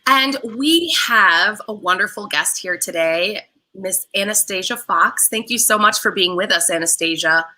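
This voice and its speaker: Very bright, upbeat woman hosting. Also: medium pitch, animated, a little slow, rough recording. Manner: upbeat and welcoming, with scripted-sounding introductions. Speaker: talk show host. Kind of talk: online talk show hosting.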